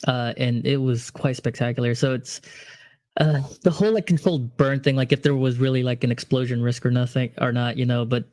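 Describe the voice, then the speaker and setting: Male podcast host, rambling, mid pitch, dry close sound. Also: fairly even intonation, a little fast, darkish tone, no room sound. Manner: rambling, many fillers and restarts. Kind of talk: casual conversation.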